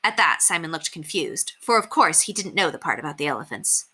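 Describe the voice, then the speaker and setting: A woman narrating a children's book aloud as an expressive storyteller. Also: low-pitched, brisk, breathy edge.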